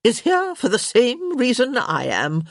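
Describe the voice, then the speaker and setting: A woman's voice: fairly high pitch, huge pitch swings, unhurried, no room sound, studio quality, voice pushed up, exaggerated voice. A woman voicing a blunt, crotchety elderly countess with a grand, emphatic manner in an audiobook story. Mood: brusque, dismissive. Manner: grand, emphatic, sharp-tongued pronouncements.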